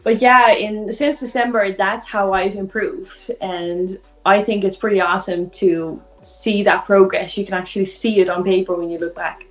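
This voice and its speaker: A woman's voice: mid-range voice, fairly even intonation, normal speed, hardly any pauses, poor audio. A Dutch woman in her thirties with an Irish accent, chatting casually as a guest illustrator on a video call, laughing often. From Netherlands. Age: 30s. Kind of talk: casual chat.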